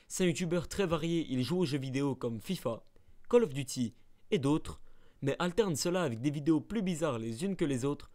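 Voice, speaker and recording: A man's voice: fairly high pitch, lively intonation, brisk, a little mellow. A man narrating a scripted ranking video, adding playful mock-dramatic asides.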